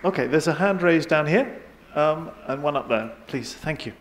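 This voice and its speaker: A man's voice: on the high side, lively intonation, normal speed, a crowd in the background. An older man moderating a live discussion on the stage of a large auditorium. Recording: live hall with audience noise. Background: audience murmur and noise.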